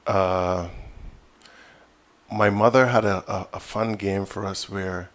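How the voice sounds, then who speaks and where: A man, a physician guest in an interview, answering in a measured, reflective way with many fillers and restarts. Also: low-pitched, steady tone.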